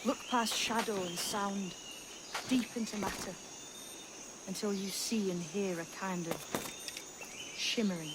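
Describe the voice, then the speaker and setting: A woman playing a wise, secretive young sailor in a video game cutscene, speaking in a measured way with hushed phrase endings. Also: mid-range voice, steady tone, very noisy, close to an everyday voice. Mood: guiding, intent.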